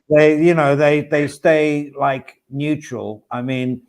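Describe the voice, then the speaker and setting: A man's voice: a little high, darkish tone. A man hosting a livestream, chatting casually and reading out viewer comments. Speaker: stream host. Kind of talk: casual chat.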